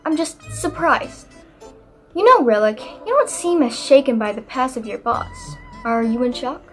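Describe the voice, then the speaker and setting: A woman's voice: sits high, wildly swooping pitch, unhurried, heavily acted. A woman playing a businesslike, inquisitive detective in a radio play, with formal, firm phrasing. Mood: probing, measured. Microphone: video call. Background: faint music.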